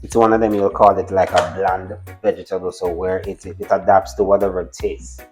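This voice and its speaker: A man's voice: deep voice, little pitch movement, brisk, over music. A Jamaican man from the island's countryside, talking casually through a cooking demonstration and often repeating words as he starts a thought. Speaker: guest cook.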